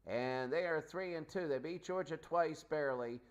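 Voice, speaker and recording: A man hosting a post-game show, talking off the cuff through football results in a halting, emphatic way with repeated phrases. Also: a little high, lo-fi sound. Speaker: sports show host. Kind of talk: unscripted post-game recap commentary.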